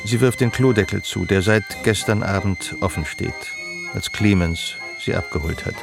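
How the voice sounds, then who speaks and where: A man acting as a detached, observant storyteller, narrating literary radio drama in a measured way. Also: deep voice, creaky, over music. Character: detached, observant male storyteller of a woman's life.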